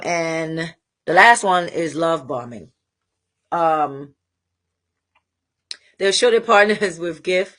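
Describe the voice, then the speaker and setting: A woman with a Trinidadian accent hosting a live talk show, speaking candidly and rambling with pauses to find her thread. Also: low voice. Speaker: host. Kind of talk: casual livestream monologue.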